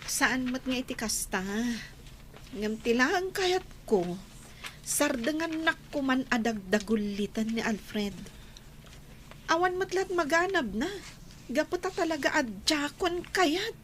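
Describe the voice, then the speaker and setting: A woman's voice: high voice, animated, unhurried, fairly bright tone, a little hiss or hum. An actress playing a firm young college woman in a radio drama, speaking with a Filipino accent. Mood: firm, resigned. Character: Emma, firm young college woman refusing an old love. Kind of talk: Ilocano radio drama acting.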